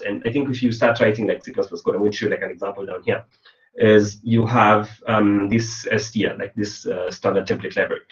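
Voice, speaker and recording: Kenyan man teaching over a roomy webcam. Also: on the low side. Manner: loose and chatty, many fillers and restarts. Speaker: programming instructor. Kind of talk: casual online lecture. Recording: roomy, distant, dull webcam sound.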